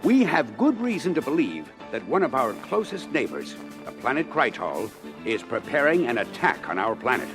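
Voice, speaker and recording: An actor playing a stern, authoritative planetary defense minister in an audio adventure, speaking in formal, measured, emphatic oratory. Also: male voice, medium pitch, wildly swooping pitch, over music. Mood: firm, official. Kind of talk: audio adventure record acting, military briefing speech.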